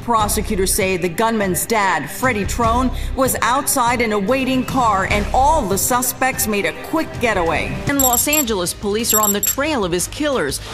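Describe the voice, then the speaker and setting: Female news voice-over, even and measured, with music bed underneath. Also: a little high. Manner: measured, crisp broadcast delivery. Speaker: news narrator. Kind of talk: scripted news narration.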